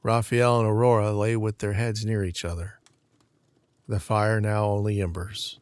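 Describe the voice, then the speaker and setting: A man's voice: on the low side, little pitch movement, moderate pace, no room sound. A man reading scripted scene narration for an audio drama. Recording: clearly audible background noise.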